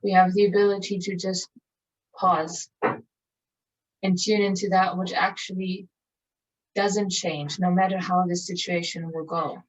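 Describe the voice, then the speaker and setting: A woman leading a guided meditation in a calm, contemplative, measured manner with long pauses. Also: on the low side. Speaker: meditation teacher. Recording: distant mic, dull muffled sound.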